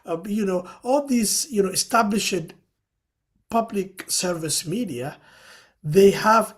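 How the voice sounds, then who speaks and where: Earnest man explaining at length. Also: high-pitched. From Somalia. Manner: earnest, explanatory, many "you know" fillers. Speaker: panel speaker. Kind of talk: webinar panel discussion.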